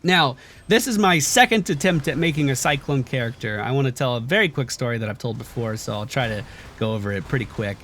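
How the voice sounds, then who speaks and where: A man hosting a gaming channel, explaining a character build over gameplay in a relaxed way with lots of uh and um. His voice is still stuffy as he recovers from losing it. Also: medium pitch, fairly quick, a little hiss or hum. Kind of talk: gameplay commentary over footage.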